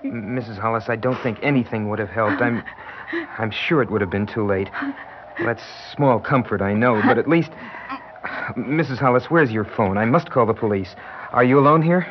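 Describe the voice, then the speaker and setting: An actor playing an earnest, patient doctor in a radio drama, gently insistent and deliberate as he speaks. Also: medium pitch, natural voice. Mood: gently consoling. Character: earnest, patient male doctor breaking grim news. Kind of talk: old-time radio drama acting. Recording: dull, hissy classic radio recording.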